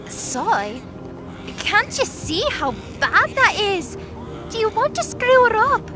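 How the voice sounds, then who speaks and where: A woman acts out a young winged goddess in an audio drama, very high and lively in pitch. She is hesitant and pleading, yet protective and defiant, speaking slowly over clear background music. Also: full character voice. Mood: upset, accusing.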